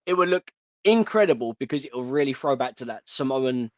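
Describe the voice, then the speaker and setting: A man's voice: mid-range voice, expressive, brisk, a crowd in the background. A man co-hosting a livestream, chatting in an enthusiastic, rambling way. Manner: enthusiastic, rambling, laid-back. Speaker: co-host of a wrestling livestream. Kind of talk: casual livestream chat.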